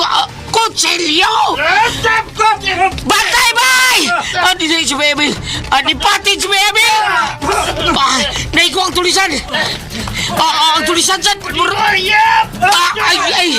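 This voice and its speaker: A performer in a Bisaya radio drama playing an excitable onlooker, frantic and stammering as words get repeated. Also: very high voice. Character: excitable onlooker shouting out a fight blow by blow.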